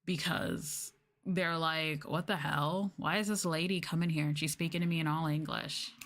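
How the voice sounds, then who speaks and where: Young female streamer, slightly low pitch, casual ASMR-stream chat, apologetic venting delivery. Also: fairly even intonation, moderate pace, a bit bright. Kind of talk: casual chatty livestream talk, meant as soft ASMR.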